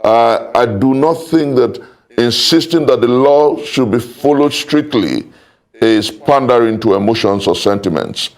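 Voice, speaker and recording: A man's voice: mid-range voice, expressive, measured pace. A Nigerian man giving argumentative political commentary on a panel, emphatic and formal, repeating phrases for weight in legalistic language.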